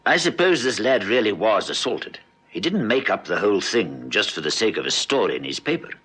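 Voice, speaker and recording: An actor playing a weary, authoritative police brigadier, brusque and musing, in 1960s radio drama style. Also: male voice, on the low side, big pitch swings, brisk, fairly bright tone, slightly rough, full character voice. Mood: sceptical, musing.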